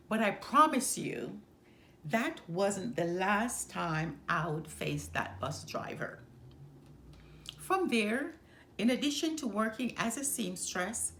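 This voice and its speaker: Slow, lively woman reading a storybook. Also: medium pitch, slight background noise. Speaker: storyteller reading a picture book aloud. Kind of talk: expressive read-aloud of a children's book.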